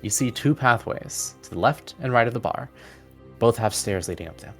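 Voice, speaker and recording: A man's voice: medium pitch, animated, moderate pace. A man running a tabletop fantasy game as game master, describing scenes in a conversational way. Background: music.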